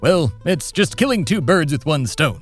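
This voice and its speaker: A man's voice: fairly high pitch, animated, normal speed, faint music behind. A man playing a pompous, boastful comedy podcast host in an audio drama, speaking formally with hesitant asides. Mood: smug, explaining. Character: Thebadias, pompous, boastful, genteel America-obsessed podcast host.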